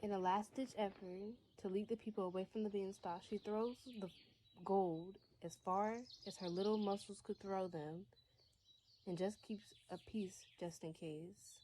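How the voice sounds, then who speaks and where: A woman's voice: mid-range voice, fairly even intonation, measured pace, dark tone, a little hiss or hum. A woman reading a scripted fairy tale as its storyteller. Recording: faint music bed under voice.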